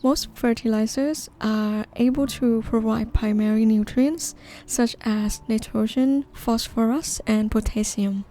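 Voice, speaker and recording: A woman from northeastern Thailand, gently narrating a gardening video in a measured, explanatory way. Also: a little high, fairly even intonation, a little hiss or hum. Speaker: gardening vlogger. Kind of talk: calm scripted voice-over narration.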